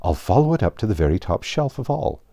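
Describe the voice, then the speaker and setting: A man reading aloud, voicing a polite, earnest seven-year-old girl in a gentle, lifted tone. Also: lowish voice, very animated, fast, dry recording, studio quality. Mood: curious.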